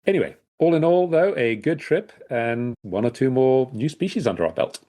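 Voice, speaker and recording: A man with a medium-pitched voice hosts a podcast. He talks in a casual, conversational way, as one would with a familiar co-host and guests. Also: lively intonation, moderate pace, dark tone.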